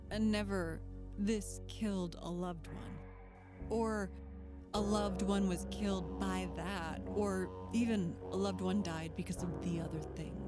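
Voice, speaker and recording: A woman reading scripted horror film narration in a measured, clinical, detached manner. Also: mid-range voice.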